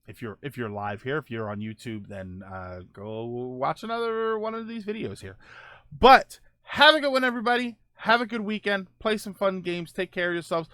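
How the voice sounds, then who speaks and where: A man's voice: sits high, animated, normal speed, pushed and bright, clean tone, no echo. A man hosting a casual live-stream show, answering viewer comments in a loose, rambling way with lots of fillers. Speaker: livestream show host.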